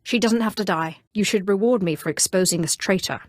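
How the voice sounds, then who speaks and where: A woman's voice: medium pitch, a little fast, no echo, studio quality, close to an everyday voice. A woman acting a haughty, sardonic young Sith sorceress in a video game, with a cool, imperious and dryly mocking delivery. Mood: cool, measured. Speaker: video game player character. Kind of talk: scripted video game dialogue.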